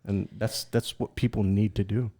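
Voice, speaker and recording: A man's voice: lowish voice, fairly even intonation, normal speed, mellow. A man from Texas with a Texan accent, hosting a podcast and speaking in an emphatic, confident, opinionated way. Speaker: podcast host. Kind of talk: casual podcast conversation.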